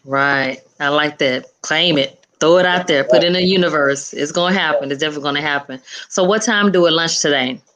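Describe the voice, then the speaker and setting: A woman's voice: sits low, fairly quick, few pauses, a bit bright. A woman interviewer chatting casually, with an encouraging, affirming way of talking. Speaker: interviewer, show host.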